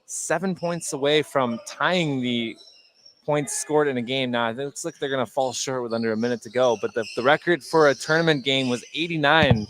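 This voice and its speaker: A man's voice: medium pitch, moderate pace, fairly bright tone, a little hiss or hum. A man giving conversational live basketball analysis as a color commentator, often repeating words as he thinks aloud. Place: indoor sports gym.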